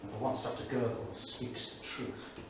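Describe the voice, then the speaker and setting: An actor playing a bitter, erudite English diarist of the 1930s, declaiming a journal in a sardonic, literary manner. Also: male voice, medium pitch, steady tone, normal speed, close to an everyday voice. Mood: indignant. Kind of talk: staged dramatic reading of a journal. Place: theatre studio stage, far microphone. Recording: distant echoey theatre studio, faint noise.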